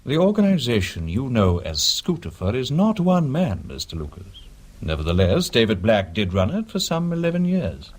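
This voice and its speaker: An actor playing a formal, courteous and measured British gentleman in a radio drama, smooth and sinister. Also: mid-range voice, huge pitch swings. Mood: smooth, confiding.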